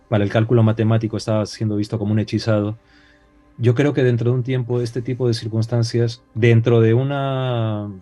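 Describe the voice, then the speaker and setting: Peruvian spanish accent, male guest, mellow warm tone, reflective, hesitant, rhetorical questions. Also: lowish voice. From Peru. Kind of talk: casual talk-show discussion.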